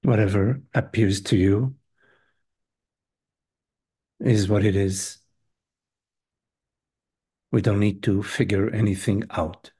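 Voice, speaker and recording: A man leading a guided meditation as a teacher, speaking gently and hesitantly in short phrases with long pauses between them. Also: lowish voice, steady tone, breathy edge. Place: live online group session.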